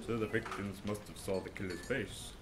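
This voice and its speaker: A man's voice: fairly low pitch, steady tone, normal speed, very noisy, natural voice. A man playing an arrogant, coolly analytical male detective in an amateur audio drama, speaking in a superior, matter-of-fact way.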